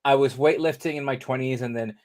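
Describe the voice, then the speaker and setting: A man's voice: medium pitch, fairly even intonation, a little fast, a crowd in the background. A man in his thirties talking casually as an interview guest, rambling with many fillers and restarts. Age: 30s. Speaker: interview guest, living kidney donor.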